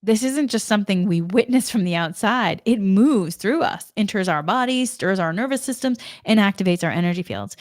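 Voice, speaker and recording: A woman's voice: mid-range voice, darkish tone, no room sound, studio quality. Earnest woman with a faint Boston accent. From Massachusetts, New England. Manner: earnest, rambling with restarts and stumbles. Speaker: spiritual channel host. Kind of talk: energy forecast talk.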